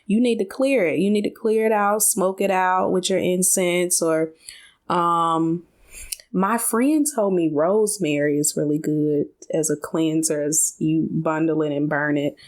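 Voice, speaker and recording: A woman's voice: on the low side, steady tone, measured pace, a little mellow. A woman co-hosting a podcast, telling stories in a casual, conversational way with colloquial asides. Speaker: podcast co-host.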